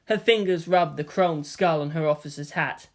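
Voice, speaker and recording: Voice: mid-range voice, normal speed. A narrator reading fan fiction aloud as scripted storytelling.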